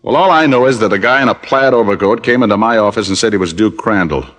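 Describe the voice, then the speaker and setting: A man's voice: on the low side, fast talker, fairly bright tone, close to an everyday voice. An actor playing a wry, hard-boiled private detective who narrates his own case in a 1950s radio drama.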